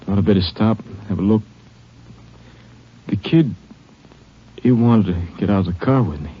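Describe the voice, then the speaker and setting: An actor in an old radio drama playing a gravely wounded deputy, a grieving father, who talks haltingly and pleads. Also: on the low side. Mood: pained, halting. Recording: dull vintage radio recording.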